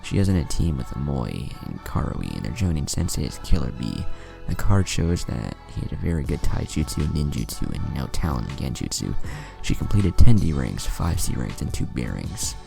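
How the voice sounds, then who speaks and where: Guy reading as a young ninja info guy, super low lively voice, explaining stuff, music behind. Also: normal speed, gravelly, hardly any pauses, heavily acted. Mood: informative. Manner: explanatory, listing facts. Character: bespectacled young male ninja, informative know-it-all. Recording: music under the voice.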